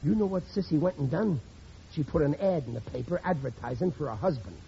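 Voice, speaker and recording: A blustering, gullible working-class husband in a vintage radio comedy. His voice is soft-edged and swings widely in pitch as he frets and muddles his grammar. The old recording is muffled and noisy. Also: fairly quick, gravelly. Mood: nervous, agitated. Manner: excitable, muddled, ungrammatical. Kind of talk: 1940s radio comedy acting.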